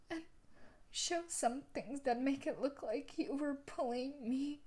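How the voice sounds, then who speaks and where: A woman playing a sadistic, possessive young student bully in an intimate audio roleplay, taunting and menacing, slipping into whispers. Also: high-pitched. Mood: smug threatening.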